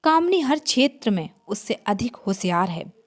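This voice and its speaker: A woman's voice: medium pitch, sing-song, moderate pace. A woman reading a scripted horror story as its narrator.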